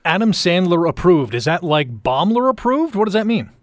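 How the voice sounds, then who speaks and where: A male radio host with a slightly high voice. He interviews in an upbeat broadcast manner, recorded close and dry in a studio. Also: sing-song, moderate pace, dry recording. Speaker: radio morning show host.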